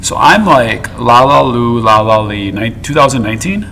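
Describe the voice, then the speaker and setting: A man's voice: medium pitch, lively intonation, moderate pace, pushed and bright, a little hiss or hum. A man hosting a podcast in casual chat, rambling playfully and prompting his guests to tell their stories. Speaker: podcast host.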